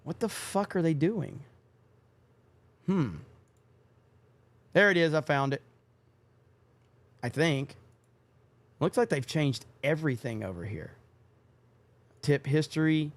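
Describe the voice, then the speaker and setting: Slow-talking man hosting a livestream. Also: a little high, lively intonation, no echo. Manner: reads viewer donations aloud, swears casually. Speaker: livestream podcast host. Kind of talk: casual livestream chat.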